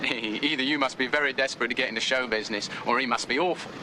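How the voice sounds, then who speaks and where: A man's voice: medium pitch, fast, background noise, lightly acted. A man playing a cheeky Cockney band leader. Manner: cheeky and jokey. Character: cheeky Cockney male band manager, wisecracking. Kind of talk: 1970s TV drama acting.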